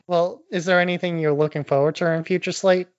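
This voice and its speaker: A man with a slightly high voice gives blunt, opinionated takes as a podcast co-host in casual chat. The recording is dry and a little dull. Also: fairly even intonation, a little fast, smooth, dry recording. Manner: blunt, sweary venting.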